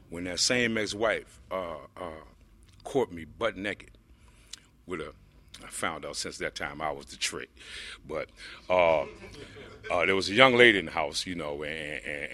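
A man with a deep voice telling his personal recovery story to a group, rambling with lots of fillers. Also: pushed and bright. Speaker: speaker sharing a recovery story.

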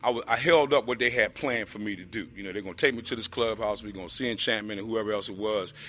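A man with a deep voice telling his personal recovery story to a group, rambling with lots of fillers. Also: fast, bright, forceful tone, a little hiss or hum. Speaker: speaker sharing a recovery story.

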